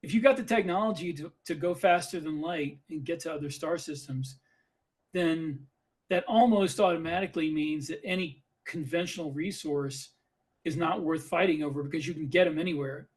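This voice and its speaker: A man with a somewhat high voice discusses ideas as a podcast co-host. He talks informally and argues his points with emphasis, repeating phrases as he goes. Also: moderate pace. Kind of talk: casual opinionated discussion.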